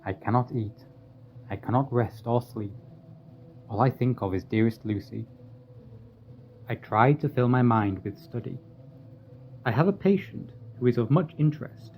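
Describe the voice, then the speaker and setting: Man playing a gravelly, brooding doctor. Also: medium pitch, moderate pace, pauses often, a little mellow, close to an everyday voice. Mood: melancholy. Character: brooding lovesick male asylum doctor, studious and grave. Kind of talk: radio drama monologue. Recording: dull sound with faint noise.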